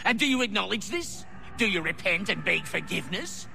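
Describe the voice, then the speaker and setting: Sharp, high-pitched, haranguing warden. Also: male voice, expressive, fairly quick, creaky, a little hiss or hum, exaggerated voice. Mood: contemptuous. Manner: pompous, sermonising, contemptuous. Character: scornful, self-righteous male prison warden haranguing inmates.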